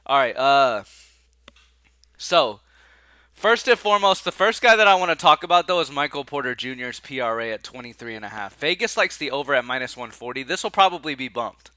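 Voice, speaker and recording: Congested nasal man, bright dry voice. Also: medium pitch, brisk, no echo. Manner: excitable, slangy, swears casually. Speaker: sports betting picks host. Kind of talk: casual betting picks talk.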